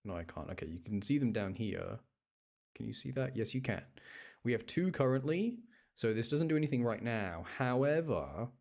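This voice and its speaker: A man's voice: on the low side, darkish tone. A man giving casual gameplay commentary, lightly grumbling and self-deprecating as he explains what he is doing. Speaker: gamer playing and commenting, channel host. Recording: noticeable background noise.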